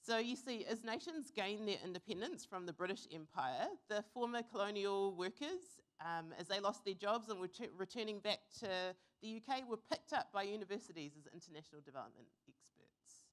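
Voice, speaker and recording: A young woman from New Zealand giving an earnest, reflective conference talk, pausing with fillers now and then. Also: mid-range voice, animated. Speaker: conference speaker. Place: conference hall.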